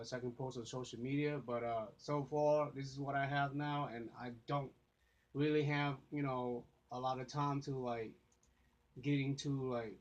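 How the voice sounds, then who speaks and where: Man rambling to a phone camera. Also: medium pitch. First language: Vietnamese. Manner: rambling, many fillers and restarts. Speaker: vlogger. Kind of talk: casual talk to camera. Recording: dull lo-fi phone audio.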